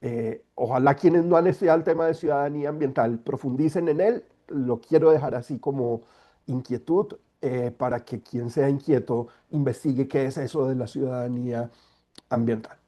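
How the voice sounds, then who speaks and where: Hoarse-sounding man with a cold. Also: mid-range voice, warm tone. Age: middle-aged. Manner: measured, explanatory. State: congested with a cold. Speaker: guest speaker, environmental lawyer. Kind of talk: online talk. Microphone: video call.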